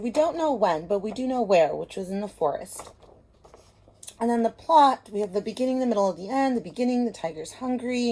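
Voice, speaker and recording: A woman's voice: mid-range voice, mellow, low-quality recording. A woman teacher giving a casual lesson to children, explaining simply and checking in with short questions.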